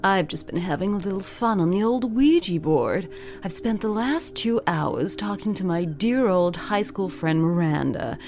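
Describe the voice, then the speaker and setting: A woman playing a darkly playful horror hostess, introducing creepy stories with theatrical, drawn-out delivery and arch pauses. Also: mid-range voice, animated, darkish tone, lightly acted. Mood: playfully sinister. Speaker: host of a creepy story show. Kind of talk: campy scripted horror-host introduction. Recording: music under the voice.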